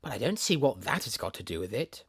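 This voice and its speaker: A polite, timid little girl, voiced by a storyteller with a lifted, soft voice. She asks questions gently and curiously, her tone rising and falling. Storybook reading. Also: medium pitch, big pitch swings, fast, husky.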